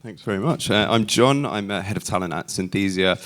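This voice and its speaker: A man's voice: fairly low pitch, fairly quick, fairly bright tone. A man from London speaking as a panelist at a live event, wry and candid as he talks through his points.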